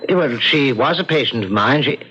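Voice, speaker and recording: An actor playing a concerned, measured doctor in an old-time radio drama. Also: male voice, mid-range voice, animated, pushed and bright, slightly rough, lo-fi sound. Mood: businesslike.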